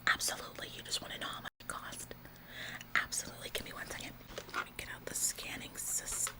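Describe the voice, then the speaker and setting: A woman's voice: quick pace, natural voice. A woman in a whispered ASMR roleplay, playing a bossy, officious store employee who repeats phrases for emphasis. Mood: polite, helpful. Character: bossy, overzealous female retail store employee, falsely apologetic. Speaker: ASMR performer.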